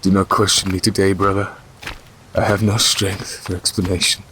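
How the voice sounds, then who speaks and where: An actor playing a grieving, exhausted, merciless king in a fantasy audio drama, speaking formally and with deliberate command. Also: low voice, little pitch movement, background noise, lightly acted. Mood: cold, commanding.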